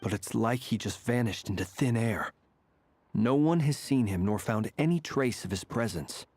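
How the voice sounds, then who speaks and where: Low, grave anime game warrior. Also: male voice, expressive, a little mellow, no room sound. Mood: grave, concerned. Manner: measured, grave, analytical.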